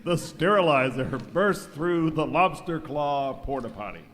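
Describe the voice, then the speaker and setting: A man narrating an amateur radio skit from a script in dramatic, drawn-out announcer fashion. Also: a little high, animated, fairly bright tone. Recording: noisy reverberant hall, live recording.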